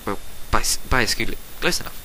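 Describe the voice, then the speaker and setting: A man giving an unrehearsed dramatic story reading, amused, often stumbling and correcting himself, in a noisy recording. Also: fast. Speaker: amateur story reader. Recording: loud background noise with clicks.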